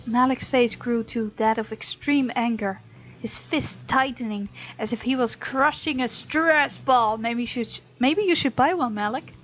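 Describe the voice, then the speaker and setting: High-voiced woman narrating a game playfully. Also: measured pace. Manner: playful, expressive, reactive. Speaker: gameplay host reading a visual novel aloud. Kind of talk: story narration with playful let's play commentary. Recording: dull, lo-fi sound with music behind.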